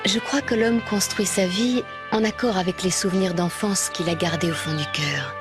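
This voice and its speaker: A woman acting as a nostalgic grown woman narrating a cartoon. Her voice sits slightly low, and she speaks in a measured, tender way as she reflects on childhood. Music plays clearly beneath her. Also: fairly even intonation, lightly acted. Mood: wistful. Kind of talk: reflective scripted narration in a French animated series dub. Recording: music playing under the voice.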